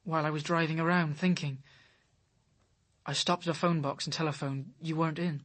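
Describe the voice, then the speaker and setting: Earnest, husky young British man. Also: fairly high pitch, fairly even intonation, fairly quick, a bit bright. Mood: anxious. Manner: earnest, pleading. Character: devoted, earnest, anxious young man in love. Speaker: radio drama actor. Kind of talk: radio crime drama acting.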